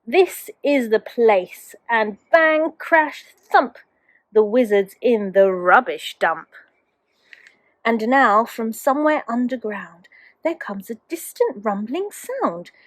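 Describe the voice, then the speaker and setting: A woman's voice: fairly high pitch, lively intonation, slow, dry recording. A woman, a teacher, reading a children's storybook aloud expressively to young children.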